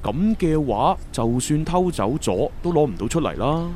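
An actor playing an earnest, analytical young time agent in a Cantonese sci-fi radio drama, with a Hong Kong accent. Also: male voice, on the high side, sing-song, normal speed, mellow, slight background noise. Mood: thoughtful, animated.

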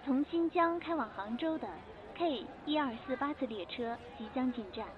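A woman acting a polite, formal train station announcer over a loudspeaker in an audio drama. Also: high-pitched, normal speed. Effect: station loudspeaker filter. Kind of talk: scripted public announcement. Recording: tinny loudspeaker sound, noisy station crowd.

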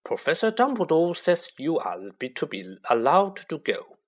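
A reader acts out a brisk storybook matron. She is strict and fussy, speaking firmly and sniffily in a raised, high voice. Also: very animated, husky. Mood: sniffy. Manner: firm, sniffy.

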